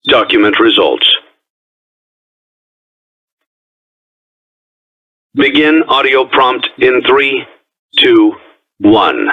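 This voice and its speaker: An actor playing a calm, ominous businessman in a video game, reading formal, measured instructions through an old tape filter. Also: medium pitch, slightly rough. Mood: flat, procedural. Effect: old cassette tape, radio-like filter. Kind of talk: scripted instructional tape narration. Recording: tinny, phone-like old tape recording.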